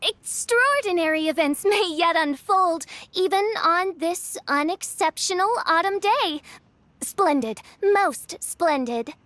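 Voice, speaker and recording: A woman's voice: very high-pitched, expressive, slow pace, a bit bright, no echo, heavily acted. A woman voicing a haughty, theatrical teenage girl who poses as a princess in a video game, speaking in pompous, archaic phrases. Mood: grandly pleased. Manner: pompous, archaic, grandiose diction.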